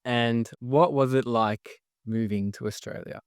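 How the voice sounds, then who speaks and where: A man hosts a podcast in a smooth, clear voice, recorded dry and close to the mic. He asks friendly, encouraging questions and keeps the conversation moving. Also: medium pitch, steady tone, unhurried, no room sound. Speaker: podcast host. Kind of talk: podcast interview.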